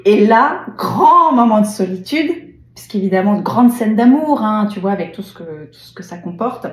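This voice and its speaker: A woman's voice: mid-range voice, lively intonation, normal speed. French woman interviewer, echoey room. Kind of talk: casual interview chat.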